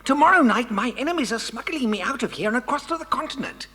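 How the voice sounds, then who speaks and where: An actor playing an agitated, earnest kidnapped scientist who speaks haltingly in a children's audio drama. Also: medium pitch, animated, sharp tone. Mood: startled, anxious. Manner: halting, stammering. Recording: long reverberant hall sound.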